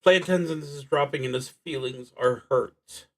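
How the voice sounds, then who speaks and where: A man streaming a solo roleplaying game, thinking aloud and reading out cards, often halting and trailing off as he works things out. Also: medium pitch.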